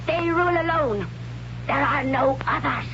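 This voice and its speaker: A woman playing a stern, dogmatic high priestess in a 1970s radio drama, formal and incantatory, on a muffled old broadcast. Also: measured pace, a bit bright, slight background noise. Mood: stern, imperious.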